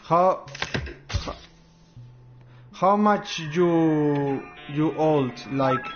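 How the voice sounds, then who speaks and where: A man's voice: on the high side, extremely slow, dull audio, faint music behind. A man chatting casually in broken English while playing a game, tossing in slang. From Romania. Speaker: gamer streamer. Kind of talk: casual gaming chat.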